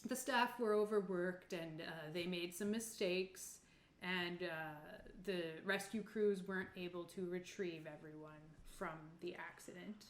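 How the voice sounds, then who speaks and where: A woman's voice: on the low side, fairly even intonation, a little slow, roomy sound, lightly acted. A woman playing a nervous, evasive middle-aged man who owns a theatre, speaking hesitantly and defensively in a tabletop game. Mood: evasive, uneasy.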